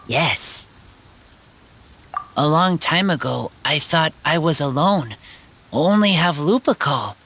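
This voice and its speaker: Slow, halting wolf-raised boy. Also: on the high side, fairly bright tone, slight background noise, full character voice. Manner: halting, simple broken sentences. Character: earnest teenage boy raised by wolves. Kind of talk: video game character voice acting.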